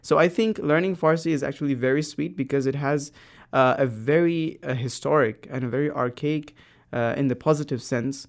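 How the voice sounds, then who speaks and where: A man's voice: a little high, normal speed, gravelly. Earnest guy on a panel, keeps restarting his words. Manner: earnest, restarts words while searching for them. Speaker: guest panelist. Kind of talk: panel discussion.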